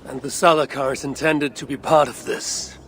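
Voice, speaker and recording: A man playing a grave, brooding older Norse god in a video game cutscene, speaking in a measured, sometimes hushed way. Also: medium pitch, steady tone, a little hiss or hum, close to an everyday voice. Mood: resolute.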